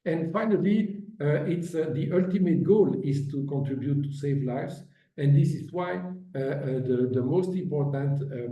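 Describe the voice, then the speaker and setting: A man giving formal conference remarks as an agency director, halting with many fillers and restarts, speaking as an over remote call audio. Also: a little high. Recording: dull roomy audio from a distance.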